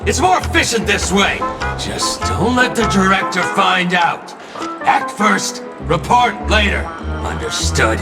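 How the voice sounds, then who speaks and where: A gung-ho corporate security boss in a game, barking orders in a high voice. Loud game music runs under him. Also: male voice, sing-song, takes it slowly, exaggerated voice. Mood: bossy. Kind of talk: game voice acting.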